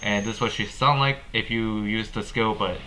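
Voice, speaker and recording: A man hosting a gaming video with casual update commentary, speaking haltingly and often repeating and rephrasing his words. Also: lowish voice, steady tone, sharp tone, slight background noise, low-quality recording.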